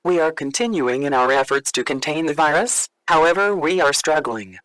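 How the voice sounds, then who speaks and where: A man playing a grave government official reading a formal, measured emergency message in a scripted drama. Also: on the high side, lively intonation, fairly bright tone, no room sound, rough recording. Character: grave male foreign affairs official announcing a pandemic.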